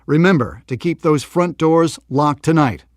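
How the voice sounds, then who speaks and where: A man's voice: a little high, measured pace, a little mellow, no room sound, studio quality, lightly acted. An actor playing a polished male news anchor, reading scripted bulletins for a game in an emphatic, projected newsreader delivery. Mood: serious, urgent.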